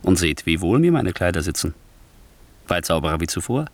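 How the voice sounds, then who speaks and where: Gravelly, insinuating scheming nobleman. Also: sits low, big pitch swings, brisk, no echo, full character voice. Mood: scornful, conspiratorial. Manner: theatrical, insinuating delivery. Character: sly ambitious nobleman plotting murder, scornful, persuasive. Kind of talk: 1960s German radio drama, classical stage acting.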